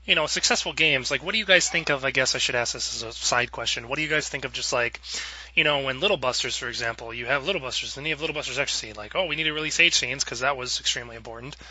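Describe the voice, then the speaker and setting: Fast, bright-voiced male podcast host. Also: mid-range voice, low-quality recording. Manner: rambling, chatty, acts out imagined quotes. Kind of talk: casual panel discussion, asking guests questions.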